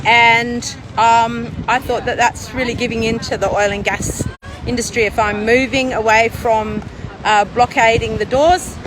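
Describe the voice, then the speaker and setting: A woman's voice: on the high side, noisy background. An older woman telling her protest story in a chatty, light-hearted way outdoors. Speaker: arrested protester. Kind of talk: casual storytelling interview. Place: outdoor city square. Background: live music nearby.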